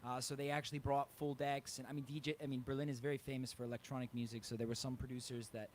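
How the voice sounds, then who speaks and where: A man's voice: medium pitch, level tone, very fast, a little mellow, slight background noise. A man who works as a touring musician, talking casually in an interview. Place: live online interview.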